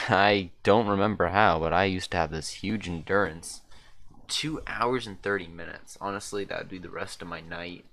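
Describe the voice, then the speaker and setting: A man's voice: deep voice, a bit bright, slight background noise. A young man casually rambling as he rewatches and comments on an old stream of his. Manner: rambling, self-deprecating, chuckling often.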